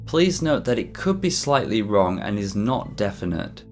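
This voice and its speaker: Man, lowish pitch, scripted video narration, measured explanatory delivery, soft background music. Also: sing-song. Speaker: YouTube narrator. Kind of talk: scripted mystery documentary narration.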